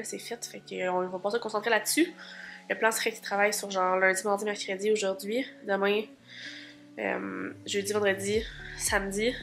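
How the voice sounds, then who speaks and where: A woman in her twenties with a Québécois French accent, chatting casually in a vlog diary while stuffy from a cold, full of fillers. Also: mid-range voice. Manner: chatty, many fillers like "fait que" and "tu sais". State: congested from a cold. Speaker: vlogger.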